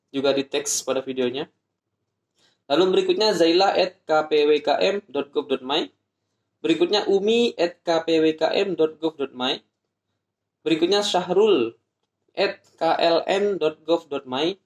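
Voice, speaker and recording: A man from Indonesia explaining an application process step by step in a vlog, spelling out addresses slowly. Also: fairly high pitch, roomy sound. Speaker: vlogger.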